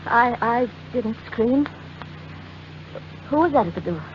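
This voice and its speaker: A frightened, pleading wife in a 1940s radio melodrama. Her voice is high and her delivery slow and halting. The recording is muffled and noisy. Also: animated. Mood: anxious, frightened. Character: frightened, secretive wife being blackmailed, pleading and anguished.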